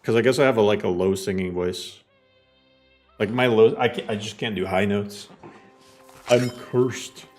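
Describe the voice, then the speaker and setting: A man's voice: lowish voice, a little slow, long pauses, darkish tone, faint music behind. A man giving casual, joking gameplay commentary, rambling and self-deprecating. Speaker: game streamer.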